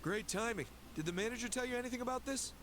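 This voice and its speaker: A chatty young male store clerk in a game, lively high voice, casual gossipy delivery, hiss underneath. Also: quick pace. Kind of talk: video game dub.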